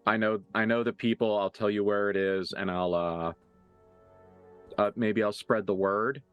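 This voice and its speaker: A man's voice: fairly low pitch, steady tone, unhurried, clear, resonant tone, highs cut off, faint music behind. A man acting as game master, improvising narration for a tabletop roleplaying game in a casual, mildly profane way.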